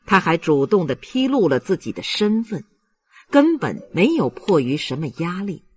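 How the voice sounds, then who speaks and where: A man's voice: sits high, sing-song, normal speed, husky. A man narrating a literary audiobook in a dramatic storytelling style.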